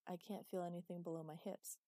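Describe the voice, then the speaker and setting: A woman's voice: fairly low pitch, flat delivery, a little fast, dark tone, no room sound, clean studio sound, natural voice. A woman voicing an injured woman who speaks frankly and inquisitively in a storybook reading. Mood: matter-of-fact. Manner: matter-of-fact, inquisitive.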